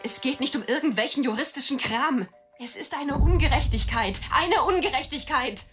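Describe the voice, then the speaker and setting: A woman's voice: high-pitched, expressive, normal speed, a bit bright, soft background music. An actress playing a desperate, insistent woman pleading against injustice in a radio drama.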